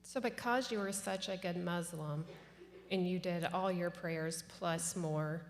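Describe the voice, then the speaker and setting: Encouraging woman interviewer, low-voiced. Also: unhurried. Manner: encouraging, asks long searching questions. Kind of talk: conversational interview.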